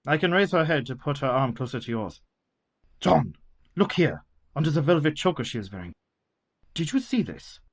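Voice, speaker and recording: Older learned physician, authoritative, formal, measured, radio drama. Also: male voice, a little high, big pitch swings, slightly rough, heavily acted. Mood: intent. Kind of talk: radio play acting.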